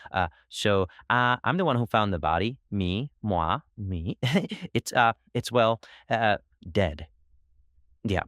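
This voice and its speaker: A man's voice: lowish voice, animated, takes it slowly, no echo, studio quality. A man playing a nervous, rambling, starstruck forensic analyst in a comedic audio drama, stammering and repeating his words. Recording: loud music behind the voice.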